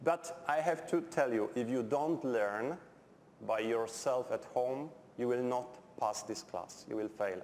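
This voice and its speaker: A Polish man with a noticeable Polish accent lectures in English, his second language, in a blunt, dryly humorous way. His pitch sits at an ordinary middle level for a man. The sound carries the long reverb of a lecture hall over a faint background hum. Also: a little slow, a little mellow. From Poland. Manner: blunt, direct, dry humour, simple phrasing. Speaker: chemistry lecturer. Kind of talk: university lecture.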